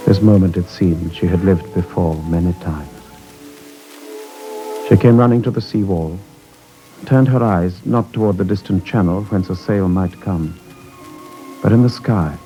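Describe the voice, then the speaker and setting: A British man narrating a solemn wartime tale in measured, literary style for a 1950s radio drama. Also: low-pitched, mellow.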